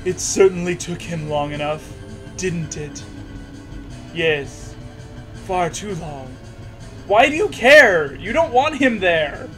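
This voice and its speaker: A man's voice: high voice, animated, slow, pauses often, music in the background. A man hosting a mocking read-aloud, sarcastic, slipping between reading and casual banter and voicing punctuation marks. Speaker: host reading fan fiction aloud.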